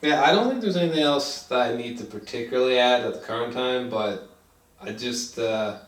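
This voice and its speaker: Echoey, rambling man reviewing movies. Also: medium pitch. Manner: rambling, halting, profane. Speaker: film review host. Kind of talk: casual movie review chat. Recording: roomy echo, distant from mic.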